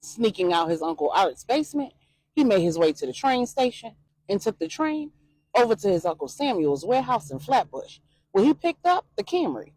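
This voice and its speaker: A woman storyteller reading a scripted crime story with expressive delivery. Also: a little high, moderate pace, husky, no room sound, rough recording.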